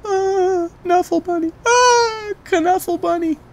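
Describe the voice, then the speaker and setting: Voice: extremely high pitch, takes it slowly, a little hiss or hum, voice pushed up, heavily acted. A storybook reader voicing a distraught little girl, whiny, stammering and pleading. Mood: tearful relief.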